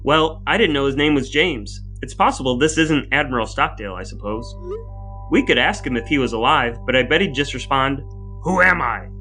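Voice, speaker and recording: A man's voice: medium pitch, expressive, moderate pace, sharp tone, faint music behind. A man co-hosting a podcast, moving between scripted narration and banter in a wry, playfully formal and wordy manner. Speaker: podcast co-host.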